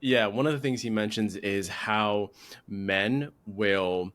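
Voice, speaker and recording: A man's voice: on the low side, slow pace, a bit bright. A man on a podcast panel explaining things casually and somewhat hesitantly, often repeating phrases.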